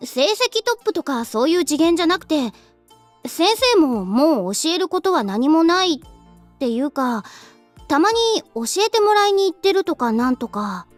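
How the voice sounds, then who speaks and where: Chatty, knowing anime schoolgirl, very high-pitched and expressive, gossipy visual novel delivery. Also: fast talker, faint music behind. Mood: gossipy, explaining. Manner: animated, gossipy. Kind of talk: Japanese visual novel voice acting.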